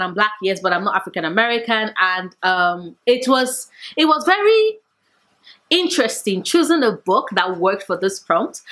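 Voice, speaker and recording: Woman, late twenties, talkative, playful, mid-pitched voice. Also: fairly bright tone. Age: 20s. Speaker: book vlogger. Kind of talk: casual chat to camera.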